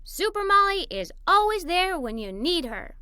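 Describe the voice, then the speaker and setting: A woman plays an eager, imaginative little girl narrating her own storybook adventures. The voice is high and bright, with animated, swooping intonation. The delivery is expressive and enthusiastic, made for young listeners. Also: normal speed, dry recording, clean studio sound, full character voice. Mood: proud. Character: Molly, excited adventurous little girl telling her day. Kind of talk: children's read-aloud story narration.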